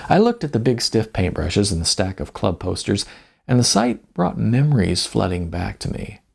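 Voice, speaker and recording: A man reading their own first-person story as scripted narration, with deliberate, emphatic phrasing and a gravelly, husky voice. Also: lowish voice, big pitch swings.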